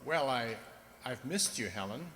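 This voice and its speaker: Kind young doctor, echoey hall. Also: male voice, medium pitch, lively intonation, measured pace, bright, forceful tone. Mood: warm, hesitant. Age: young adult. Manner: hesitant, tender. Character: kind, earnest young country doctor, shyly fond. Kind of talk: radio drama acting. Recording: echoey hall, faint background noise.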